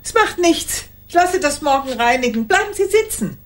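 Elderly female teacher, radio drama, formal confiding manner, very varied melody, lowish-normal voice, light noise. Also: big pitch swings. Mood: flustered, reflective. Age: older. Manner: formal, deliberate, addressing a silent listener. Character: solitary old retired schoolteacher, formal, confessional, female. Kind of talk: radio play monologue.

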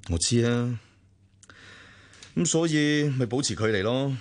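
A man's voice: mid-range voice, measured pace, natural voice. A man with a Hong Kong Cantonese accent acting a protective but detached elder brother in a radio drama, admonishing and matter-of-fact.

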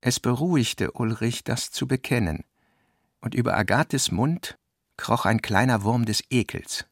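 An actor playing the reflective narrator of a modernist novel in a radio play, with measured, deliberate phrasing. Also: male voice, mid-range voice, expressive, breathy edge, no echo, studio quality.

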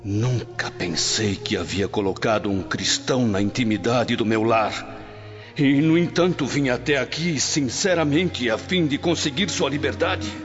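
A man's voice: mid-range voice, unhurried, lightly acted. A man acting a proud, anguished Roman nobleman in a radio drama, speaking formally and emphatically. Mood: earnest, pressing. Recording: dull lo-fi audio, long reverb, music behind.

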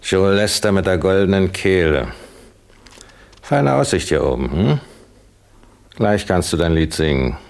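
A man's voice: low voice, sing-song, unhurried, gravelly, pauses often, exaggerated voice. An actor playing a menacing, mocking thug in a police uniform in a 1960s German radio drama, taunting as he speaks. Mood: mocking menace. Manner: taunting, sneering.

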